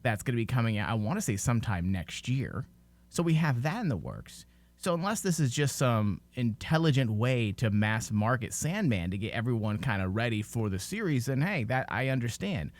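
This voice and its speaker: A man's voice: mid-range voice, very animated, moderate pace, no echo. A man hosting a podcast, talking casually and giving his opinions emphatically. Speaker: podcast host.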